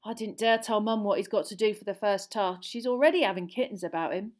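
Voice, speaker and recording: A woman voicing a brisk, frank young male dragon keeper, emphatic and colloquial, in an audiobook. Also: mid-range voice, natural voice. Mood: concerned. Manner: emphatic, matter-of-fact, colloquial. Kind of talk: audiobook character voice.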